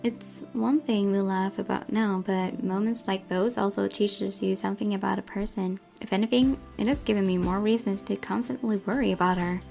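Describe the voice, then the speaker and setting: A woman's voice: medium pitch, fairly even intonation, moderate pace, creaky, few pauses, darkish tone. A woman reading a visual novel aloud as first-person narration. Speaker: gaming channel host reading aloud. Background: music.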